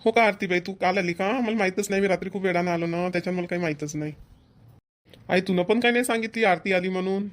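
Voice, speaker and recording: An actor plays a village brother and husband in a family cartoon. He speaks quickly and insistently, in a homely way. His accent is rural Varhadi Marathi, and he is bossy but caring. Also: mid-range voice, natural voice. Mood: concerned. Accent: Maharashtrian, rural Varhadi Marathi.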